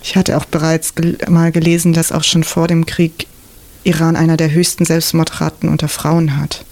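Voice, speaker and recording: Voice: medium pitch, completely flat delivery, moderate pace, darkish tone. A radio interview guest from southern Germany, talking casually and reflectively in a studio discussion.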